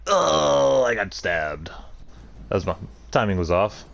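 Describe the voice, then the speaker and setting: A man's voice: on the low side, sing-song, slow pace, gravelly, fairly bright tone, slight background noise. A man doing casual let's play commentary, thinking aloud and muttering to himself as he plays. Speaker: gaming video host.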